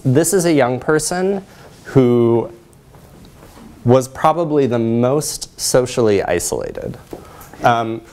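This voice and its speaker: A man in his thirties giving a research presentation in a lecture hall, explaining in a measured way. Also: medium pitch, darkish tone.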